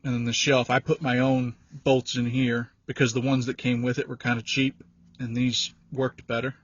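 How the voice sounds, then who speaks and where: Even-toned man, dull lo-fi recording. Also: mid-range voice, normal speed. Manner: folksy, informal, rambling. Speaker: vehicle DIY video creator. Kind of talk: casual handheld walkthrough. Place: in and around a pickup truck cab. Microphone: handheld phone or camera. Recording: dull lo-fi audio, roomy echo.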